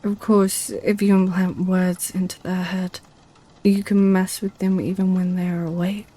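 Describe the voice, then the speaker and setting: Succubus, female performer: playful, possessive temptress, whispery and unhurried, dark-toned ASMR roleplay. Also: fairly low pitch, little pitch movement. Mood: gleeful, seductive. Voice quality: breathy, whispery. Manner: teasing, coaxing, slow.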